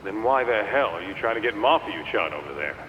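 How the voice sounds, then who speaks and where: A man playing a blunt, skeptical street-tough partner in a video game cutscene, rough and casual in manner. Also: expressive, fairly quick, natural voice. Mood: baffled, exasperated. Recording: dull band-limited sound, long reverb, rumble.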